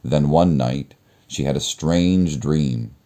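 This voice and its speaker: A man reading a children's story aloud as narrator, in a measured, expressive storytelling manner. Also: very deep voice. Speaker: storybook reader.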